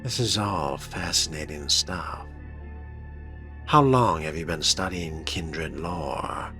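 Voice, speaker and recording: Guy playing a new vampire in an rpg, really deep slow voice, short probing questions, impatient. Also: pushed and bright, faint music behind, exaggerated voice. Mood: curious. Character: male fledgling vampire, blunt, inquisitive, impatient. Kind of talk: role-played video game dialogue.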